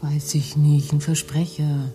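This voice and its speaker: A dry-witted, hungover wife in a naturalistic radio play. Her voice sits very low for a woman, and she needles her husband with sardonic lines. Faint music runs behind her. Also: level tone, measured pace, warm tone. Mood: dry, irritated.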